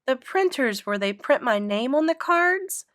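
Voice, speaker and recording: A woman's voice: high-pitched, lively intonation, measured pace, fairly bright tone, no echo, studio quality, pitched above the performer's own voice. A woman voicing a prissy, boastful little rich girl, haughty and gushing, in a children's storybook. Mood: smug, dreamy.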